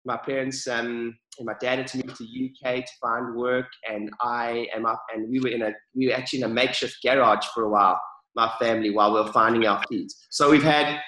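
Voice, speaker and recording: A man's voice: medium pitch, fairly even intonation, a little fast, sharp tone, reverberant, low-quality recording. A man with a South African accent, talking as an enthusiastic, upbeat podcast guest. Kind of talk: casual interview.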